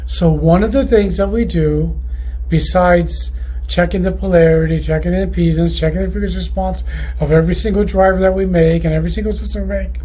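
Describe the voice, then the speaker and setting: A man who runs a loudspeaker company, talking through his factory's quality control in a rambling, casual way, often restarting his sentences. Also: high voice, breathy edge, poor audio. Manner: rambling, many restarts and self-corrections. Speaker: loudspeaker manufacturer. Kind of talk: casual explanatory monologue.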